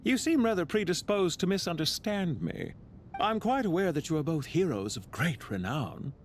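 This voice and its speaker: A man playing a calm, courteous, scheming villain officer in a video game, speaking in a polite, formal, measured and theatrical way. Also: on the high side, a little hiss or hum. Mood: smooth, amused. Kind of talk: scripted video game voice acting.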